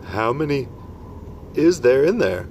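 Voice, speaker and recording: A big, kindly old giant who bends his words and invents new ones, his voice pitched up and swinging widely, as a man reads him aloud. It sits on a steady hum of background noise, like a storybook read at home. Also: a little slow. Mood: gentle, fretful. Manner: mangled grammar and made-up words. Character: huge kindly old male giant, tender-hearted.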